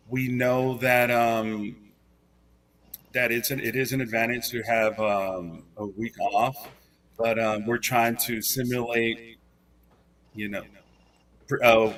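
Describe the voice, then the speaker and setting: A man who is a head football coach, answering interview questions in a halting way with many fillers. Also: on the low side, fairly bright tone, far from the mic. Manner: earnest, filler-heavy, halting.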